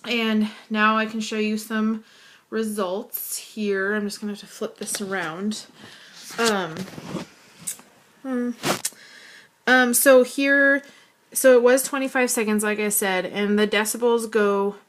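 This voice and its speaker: A woman speaks in a deep, slightly hoarse voice that slips into vocal fry. She holds a fairly flat, even pitch and talks casually to camera, pausing often on fillers. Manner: hesitant, frequent fillers. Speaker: student. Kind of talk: casual video assignment to camera.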